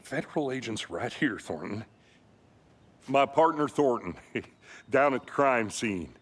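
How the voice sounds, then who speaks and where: A man's voice: medium pitch, expressive, a little slow, breathy edge. A man playing an awkward, eager-to-please small-town sheriff's deputy in a video game, hesitant, rambling and apologetic. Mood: folksy, awkward. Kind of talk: scripted game dialogue.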